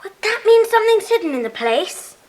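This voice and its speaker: Squeaky-high, outspoken little schoolgirl. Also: very animated, fairly quick, a little mellow. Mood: emphatic. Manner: emphatic, childlike. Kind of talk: children's audio drama acting. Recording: echoey hall, some noise.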